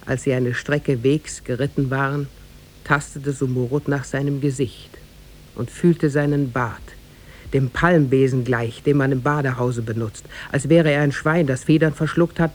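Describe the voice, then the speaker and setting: A male narrator tells a tale in formal, literary phrasing. His pitch sits in the middle and his delivery is measured, in the manner of a 1950s radio play. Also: fairly even intonation, a little mellow. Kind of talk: scripted storytelling narration, 1950s German radio drama.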